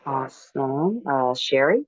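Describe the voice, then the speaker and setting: A woman's voice: rumbling low, expressive, slow, husky, no room sound, muffled recording. A woman hosting a dating show, calling on guests one by one with short cheerful acknowledgements.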